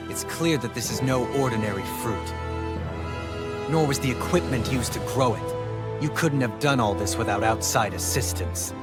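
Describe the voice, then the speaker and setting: Noble young lord acted by a man, formal, measured, solemn, resolute, video game cutscene, loud music underneath. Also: medium pitch, lightly acted. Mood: calm, stern. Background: loud background music.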